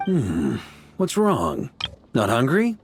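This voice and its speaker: An actor playing an easygoing, roguish middle-aged knight commander in an anime game, with a breezy, folksy manner. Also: male voice, mid-range voice, huge pitch swings, slow, soft background music, heavily acted. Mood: reluctant. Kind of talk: anime video game voice acting.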